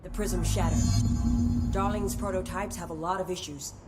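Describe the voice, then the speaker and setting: An actress playing a brisk, commanding woman scientist in a game. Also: fairly low pitch, fairly even intonation, over loud music, close to an everyday voice. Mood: firm. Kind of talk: game voice acting.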